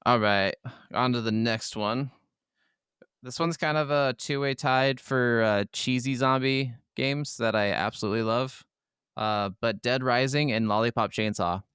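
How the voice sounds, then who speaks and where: A man's voice: mid-range voice, a little slow, a bit bright, clear, resonant tone, no echo, clean studio sound. A man co-hosting a casual gaming podcast, talking in an enthusiastic, profanity-laced way. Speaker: podcast co-host.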